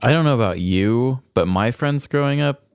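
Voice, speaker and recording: A man's voice: medium pitch, expressive, normal speed, gravelly, smooth, dry recording. A man co-hosting a podcast, talking casually in a laid-back, wry way. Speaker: podcast co-host.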